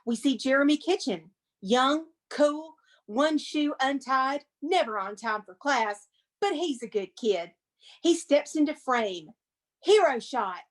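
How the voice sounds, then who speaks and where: A woman's voice: high-pitched, expressive, takes it slowly. A woman presenting as a museum curator and reading a script, with theatrical, emphatic, dramatic narration.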